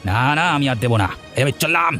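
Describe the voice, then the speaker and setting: Man acting a very fast-talking, greedy village bully. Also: mid-range voice, expressive, pushed and bright, faint music behind. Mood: threatening. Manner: brusque, repeats words impatiently. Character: rude greedy village handyman uncle, bullying and scornful. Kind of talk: Bengali cartoon voice acting.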